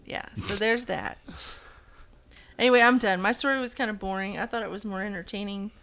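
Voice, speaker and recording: A woman's voice: medium pitch, steady tone, a little fast, a bit bright. Teasing woman in casual chat. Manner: teasing, laughs a lot. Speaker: podcast co-host. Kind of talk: casual chat among friends.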